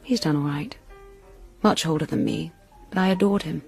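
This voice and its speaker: A woman's voice: deep voice, moderate pace, vocal fry. An actress playing a wistful, romantic young woman in a radio drama, with a dreamy, dramatic storytelling manner. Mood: fond reminiscence. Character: romantic, wistful young woman, stage performer with smuggler brother. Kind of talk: intimate radio drama acting. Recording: radio drama with music underneath.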